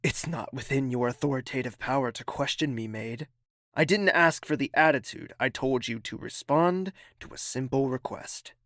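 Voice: medium pitch, normal speed, fairly bright tone, no echo, clean studio sound, lightly acted. An actor playing a haughty, impatient young royal prince in an audio drama, clipped and condescending. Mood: imperious, irritated.

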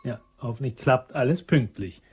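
An actor playing a self-important, chatty shopping center manager in a German crime radio drama, jovial and official in manner. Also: male voice, medium pitch, normal speed, a little mellow, slight background noise, natural voice. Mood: businesslike, jovial.

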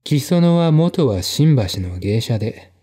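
A man narrates a mystery novel as a dramatic audiobook. His voice is mellow and medium in pitch, and he reads slowly, phrase by deliberate phrase. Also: very animated, breathy edge. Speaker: narrator and reader.

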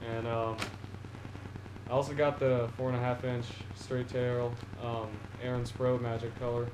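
A teenage boy showing off fishing gear in a casual haul video, rambling with many ums and restarts, seated on a couch in front of a camera on the floor. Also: medium pitch. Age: teens. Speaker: fishing vlogger. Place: small indoor room, seated on a couch. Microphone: camera on the floor in front of him. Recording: echoey room with a steady noise floor.

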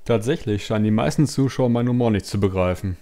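A man's voice: lowish voice, fast talker, dark tone, natural voice. A man playing a smug, tasteless stand-up comedian in a parody radio play. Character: self-satisfied, tasteless male stand-up comedian.

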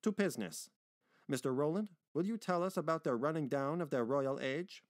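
A male narrator puts on a shrewd man of business for a novel reading. He is brisk, formal and pointedly courteous, with a dry sound. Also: medium pitch, big pitch swings, dry recording, natural voice. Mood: brisk, businesslike.

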